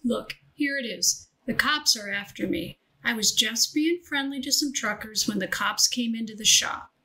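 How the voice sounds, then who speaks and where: A woman's voice: a little high, moderate pace, pushed and bright, low-quality recording. A woman playing a brash, impatient young woman in audiobook dialogue, casual and streetwise. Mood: urgent, blunt.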